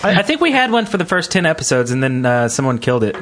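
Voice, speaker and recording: A man co-hosting a podcast in relaxed, casual conversation. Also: medium pitch, quick pace, noisy background. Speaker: podcast co-host. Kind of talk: casual chat.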